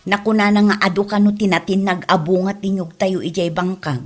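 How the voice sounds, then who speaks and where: A woman acting a chatty rural Filipino wife and mother in a comedy drama, with an Ilocano accent and an expressive, drawn-out colloquial way of talking. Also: mid-range voice, faint music behind, close to an everyday voice. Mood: concerned, coaxing.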